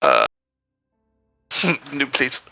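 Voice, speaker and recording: A man's voice: medium pitch, wildly swooping pitch, drawn-out words, gravelly, bright, forceful tone, faint music behind. A man playing a tabletop game over voice chat, talking casually with many fillers and hesitations. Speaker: tabletop player.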